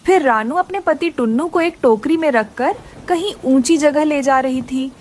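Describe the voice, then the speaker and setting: A woman's voice: high-pitched, normal speed, darkish tone, slight background noise. A woman narrating a scripted children's story.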